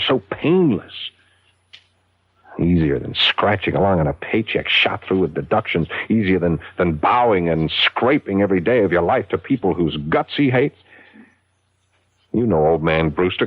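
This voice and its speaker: A brash, smug ad man in an old radio play. His low voice swings widely as he presses each point with sardonic impatience. The sound is tinny, like an old broadcast. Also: husky, dry recording. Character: brash, smug advertising man scheming a cruel wager. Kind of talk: 1970s radio drama acting.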